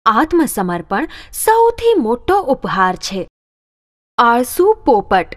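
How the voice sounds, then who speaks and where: A woman narrating a children's story in an expressive, scripted storybook style. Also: a little high, slow, dry recording, faint music behind.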